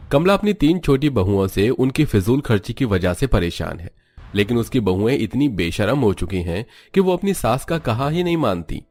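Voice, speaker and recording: Low-pitched male narrator for a cartoon story, steady and dramatic, dry close sound. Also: no echo.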